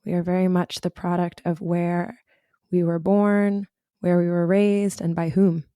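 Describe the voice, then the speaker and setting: A woman host, a little hoarse from recovering her voice, talking reflectively and personally to listeners as a career coach. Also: lowish voice, flat delivery, measured pace, warm tone, dry recording, clean studio sound. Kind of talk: solo podcast talk.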